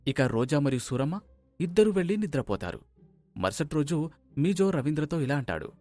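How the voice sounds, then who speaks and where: A man's voice: fairly high pitch, sing-song, brisk, a little mellow, soft background music. A man narrating a scripted moral story as its storyteller.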